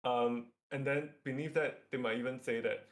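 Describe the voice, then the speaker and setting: A man's voice: medium pitch, steady tone, normal speed. A man with a Singaporean accent and a mid-range voice talks through material as a workshop facilitator. His delivery is an informal lecture, feeling its way through phrases and often checking in with a short tag.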